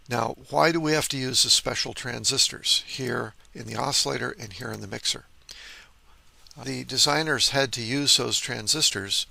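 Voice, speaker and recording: A man's voice: mid-range voice, vocal fry, bright, forceful tone, dry recording. An older man presenting as a radio hobbyist, giving an informal, methodical technical walkthrough in an explanatory way.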